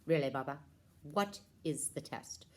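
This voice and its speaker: A woman acts out a resigned young prince in a puppet show. His voice sits low and deadpan. He placates meekly and mutters his asides. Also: a little slow.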